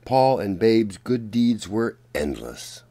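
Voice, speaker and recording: A man reading a children's picture book aloud as a storyteller, in a deliberate, dramatic manner. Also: on the low side, big pitch swings, mellow. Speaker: children's storyteller and channel host.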